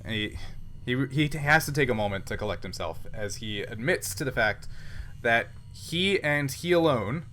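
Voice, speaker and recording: A man running a casual tabletop sci-fi roleplay as game master, halting and pausing to find his words. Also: mid-range voice, animated, sharp tone, slight background noise.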